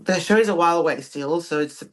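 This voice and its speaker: A young woman from Greece, a pro bodybuilder speaking relaxed and soft-spoken as a podcast guest. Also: on the low side, fast. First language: Greek. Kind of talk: casual interview.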